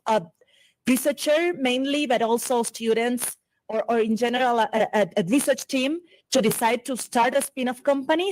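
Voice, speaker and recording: A fairly high-voiced woman in her forties lectures at a conference in English, her Argentine accent and Spanish first language clear throughout. She speaks quickly and keeps restarting phrases and doubling up on words as she works toward her point. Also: a little mellow, low-quality recording.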